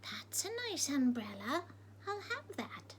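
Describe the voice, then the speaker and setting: A woman's voice: high voice, big pitch swings, takes it slowly, a little hiss or hum, heavily acted. A woman voicing a cheeky, polite woman burglar in a storybook reading, chatty and genteel. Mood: hushed, greedy.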